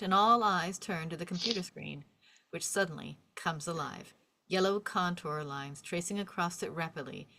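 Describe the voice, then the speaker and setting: A woman's voice: fairly low pitch, a bit bright, slight background noise. A woman narrator reading stage directions aloud at an informal table read of a film script.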